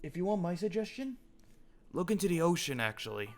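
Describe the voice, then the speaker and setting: Guy roleplaying a headmaster, chatty, long explanations, soft music behind. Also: sits high, big pitch swings, a little fast. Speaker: roleplayer playing a school headmaster. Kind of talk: improvised character roleplay.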